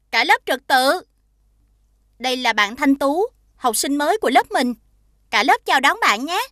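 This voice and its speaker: A calm, high-voiced cartoon teacher. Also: female voice, a little slow, long pauses, no room sound, clean studio sound. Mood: welcoming. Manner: calm, instructive. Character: young female schoolteacher, kind and orderly. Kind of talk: cartoon voice acting.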